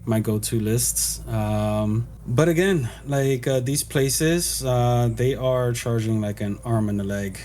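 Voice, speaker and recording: A man co-hosting a podcast, talking in a halting, casual way with lots of "you know" and "like.". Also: mid-range voice, darkish tone. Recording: faint background noise.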